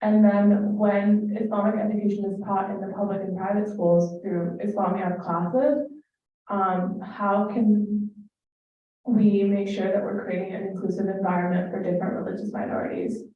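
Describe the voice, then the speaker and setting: A woman's voice: medium pitch, moderate pace. Woman asking, on a tinny line. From Pakistan. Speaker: audience member asking a question. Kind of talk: question at a conference. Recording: distant, echoey, tinny phone-like line.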